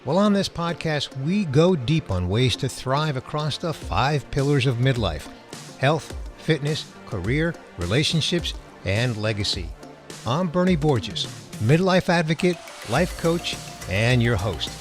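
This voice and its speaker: A man's voice: medium pitch, very animated, measured pace, soft background music. A middle-aged man from the USA hosting an interview podcast, sounding nasal from a cold and introducing his guest with enthusiasm. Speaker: podcast host.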